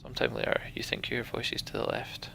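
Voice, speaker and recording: A man's voice: fast, bright, forceful tone, whispered. A man hosting a voiced game playthrough, reading the story aloud with casual, sarcastic asides. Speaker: let's play host.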